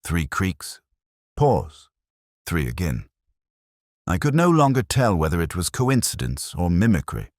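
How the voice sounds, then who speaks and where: A man narrating a dramatic mystery story in a measured, solemn manner with short, deliberate phrases. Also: low voice, big pitch swings, no room sound, clean studio sound.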